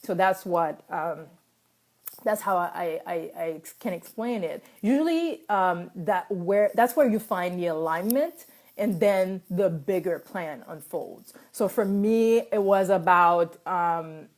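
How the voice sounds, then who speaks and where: A woman's voice: fairly low pitch, slow pace. A woman in her thirties whose first language is French, giving a casual motivational talk full of fillers, restarts and laughs. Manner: chatty, many fillers and restarts, laughs often. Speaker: speaker sharing personal advice.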